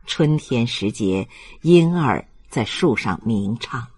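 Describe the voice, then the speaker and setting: A man reading a literary audiobook as narrator in a solemn, measured and expressive manner. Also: mid-range voice, slightly rough.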